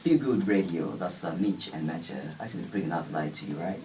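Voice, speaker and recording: A man's voice: low voice, lively intonation, quick pace, darkish tone. A man hosting a casual radio interview, rambling and laughing often. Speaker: radio host. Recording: distant mic with faint background noise.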